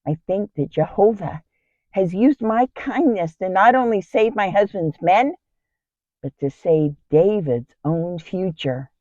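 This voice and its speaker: A woman's voice: mid-range voice, lively intonation, measured pace, dark tone, no room sound, lo-fi sound, close to an everyday voice. A woman playing a kind, brave biblical wife in an earnest, theatrical dramatic monologue. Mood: emphatic. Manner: theatrical, earnest, emphatic storytelling. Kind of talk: dramatic first-person Bible monologue.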